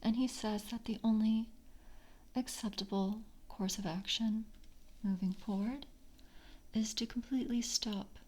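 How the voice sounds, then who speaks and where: A mellow, breathy female vampire, acted out by a woman in a soft ASMR roleplay. She is calm and jaded, screening with gentle, official politeness. Her delivery is slow and half-whispered. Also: a little high. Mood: calm, businesslike.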